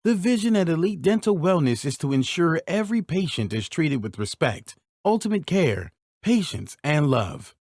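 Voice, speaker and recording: A man reading sponsor ads for a podcast in a smooth promotional delivery. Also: fairly high pitch, very animated, normal speed, darkish tone, no room sound, studio quality. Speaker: announcer. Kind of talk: scripted ad read.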